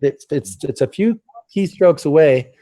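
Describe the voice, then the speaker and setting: A man hosting a casual interview, talking earnestly with halting starts and repeated words. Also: on the high side, animated, mellow. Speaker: host.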